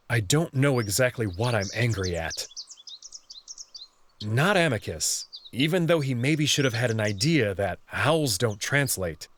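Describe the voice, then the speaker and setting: A man reads a story's first-person narration aloud, his delivery earnest and expressive. His pitch sits in a middle register but swings widely from phrase to phrase. A faint noise runs under the recording. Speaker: visual novel reader.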